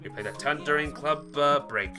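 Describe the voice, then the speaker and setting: A man's voice: fairly high pitch, normal speed, close to an everyday voice. A man voicing a prankish, self-assured teenage boy in a visual novel, with a cocky, teasing manner. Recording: music behind the voice.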